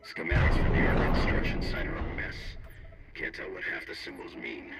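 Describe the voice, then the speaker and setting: An actor playing a gruff, battle-weary military planner in a video game, gravelly and clipped as he briefs. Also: a bass voice, animated, moderate pace, very noisy, exaggerated voice. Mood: urgent. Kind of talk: video game cutscene acting. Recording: echoing sound, music underneath.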